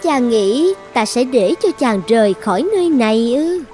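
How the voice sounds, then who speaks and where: A woman's voice: a little high, lively intonation, moderate pace, over loud music, lightly acted. A woman voicing a possessive, lovesick sorceress princess in a cartoon fairy tale, commanding and coaxing with an edge of menace. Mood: defiant, possessive.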